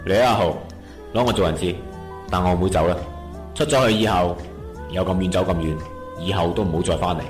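An actor playing a stern, old-fashioned gang boss in an internet radio drama, measured and authoritative, with a Hong Kong Cantonese accent. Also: male voice, low-pitched, fairly even intonation, close to an everyday voice. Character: stern, old-fashioned triad big brother, protective of sister. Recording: echoey, music behind.

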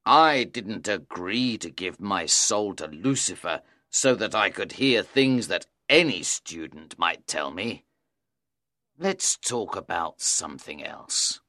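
Faustus, anguished defiant scholar, sharp-voiced. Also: male voice, low-pitched, expressive, slow pace, no room sound, full character voice. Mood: bitter, demanding. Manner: bitter, impassioned. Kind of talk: dramatised audiobook acting.

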